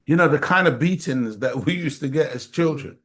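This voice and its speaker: Emphatic, higher-voiced man giving an impassioned talk. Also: fairly quick, fairly bright tone. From Jamaica. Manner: emphatic, preacher-like, repeating phrases for weight. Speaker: study group speaker. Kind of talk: impassioned teaching talk to a community audience.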